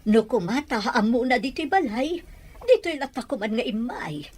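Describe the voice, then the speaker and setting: A woman's voice: on the high side, expressive, moderate pace, a little mellow, a little hiss or hum, natural voice. A woman playing a worried, caring village mother of a grown son in an audio drama. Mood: concerned. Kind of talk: Ilocano audio drama acting.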